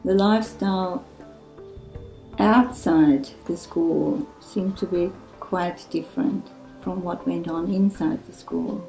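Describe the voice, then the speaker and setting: A slow-speaking older woman shares her volunteer experience with frequent pauses and fillers. Her voice is mellow and fairly low. The recording sounds roomy, with faint music behind. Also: steady tone, gravelly. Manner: hesitant, with fillers. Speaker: church volunteer. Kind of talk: interview testimony.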